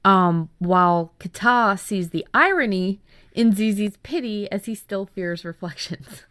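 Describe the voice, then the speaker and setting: A woman podcast host chatting casually about writing, telling things in an animated way with chuckles. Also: mid-range voice, a little slow, dry recording, clean studio sound. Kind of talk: casual chat about story ideas.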